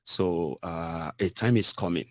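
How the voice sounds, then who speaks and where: A man's voice: deep voice, measured pace, a bit bright, no room sound, clean studio sound. A middle-aged Nigerian man giving combative, mocking political commentary with rhetorical asides. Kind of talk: opinionated solo commentary.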